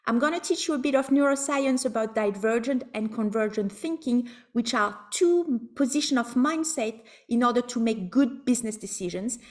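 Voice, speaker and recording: A woman's voice: a little high, moderate pace, few pauses. A woman in her forties with a French accent, giving an encouraging webinar lecture over a video call. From France and Switzerland. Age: 40s.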